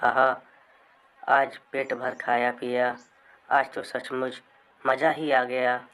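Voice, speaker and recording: A man's voice: medium pitch, flat delivery, slow pace, pushed and bright, clear, resonant tone, poor audio. A man voicing a gleeful, gluttonous female witch in a cartoon storybook tale, exclaiming and savouring the words. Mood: satisfied. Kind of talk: cartoon horror-comedy storybook character.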